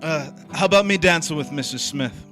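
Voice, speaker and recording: A man's voice: on the high side, a little fast, music in the background, close to an everyday voice. A man playing a cynical young drifter who narrates in terse, wry, hardboiled 1940s radio noir style. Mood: casual.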